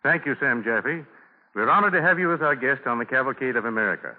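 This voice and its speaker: A man's voice: mid-range voice, lively intonation, quick pace, soft background music. A man announcing formally from a script as host of a 1940s radio program. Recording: tinny old 1940s radio broadcast.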